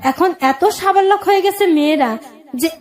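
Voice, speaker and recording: A woman's voice: extremely high pitch, a little slow, a little mellow. A woman in her thirties with a Bangladeshi accent, telling her own life story as a guest in plain, colloquial, plaintive speech. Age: 30s. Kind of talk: personal account in colloquial speech.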